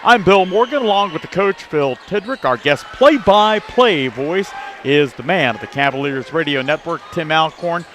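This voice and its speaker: A man's voice: on the high side, animated, normal speed, a bit bright. An American man hosting a pre-game sports radio broadcast, moving between questions and sponsor reads. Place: basketball gym. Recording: faint gym crowd and noise behind.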